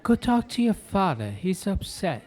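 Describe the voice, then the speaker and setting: Man doing a fussing Italian-American mother, raised pitch. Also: very animated, a little slow, darkish tone, slight background noise. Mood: worried fussing. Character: fussing Italian-American mother, middle-aged, worried and bossy. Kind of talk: audiobook character voice.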